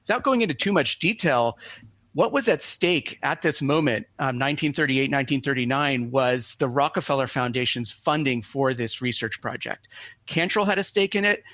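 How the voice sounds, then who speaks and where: Male academic guest, mid-pitched, hesitant and digressive, very dry recording. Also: expressive, normal speed, fairly bright tone, no echo. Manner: hesitant, digressive, scholarly phrasing. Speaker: professor, expert guest. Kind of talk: academic explanation in an interview.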